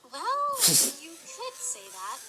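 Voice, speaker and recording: A woman's voice: sits high, huge pitch swings, extremely slow, over loud music, exaggerated voice, poor audio. A woman playing an earnest, bookish young mare who studies magic and is easily exasperated, in an audio drama. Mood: friendly reminiscing. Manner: earnest, questioning.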